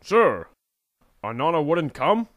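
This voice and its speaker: Young male friend played by an actor, audio drama: earnest, worried, casual, lively mid-range voice, close dry sound. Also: on the high side, takes it slowly, no room sound, exaggerated voice. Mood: casual, concerned.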